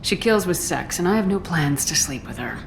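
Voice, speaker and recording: An actress playing a tough, terse military commander in a video game, matter-of-fact and dry. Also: female voice, low voice, animated, brisk, vocal fry, noisy background.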